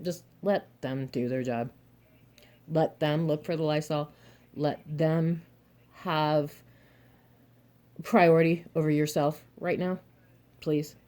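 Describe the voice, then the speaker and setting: A young adult woman, a little hoarse and throaty, chatting frankly to her viewers with advice, laughing often and cursing mildly. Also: low-pitched, steady tone, slow, long pauses, mellow. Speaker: vlogger, single mother and reseller. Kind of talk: casual advice monologue to camera.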